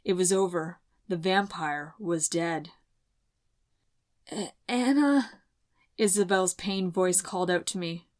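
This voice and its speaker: A woman reading a fantasy story aloud in first-person narration, measured and solemn. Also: lowish voice, fairly even intonation. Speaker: reader, audiobook narrator.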